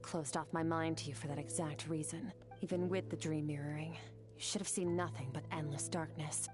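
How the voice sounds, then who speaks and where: An actress plays an aloof female swordmaster from beyond the stars, her voice set lower than most women's. She speaks in a clipped, matter-of-fact way, with game music underneath. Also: fairly even intonation, moderate pace, natural voice. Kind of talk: video game cutscene dialogue.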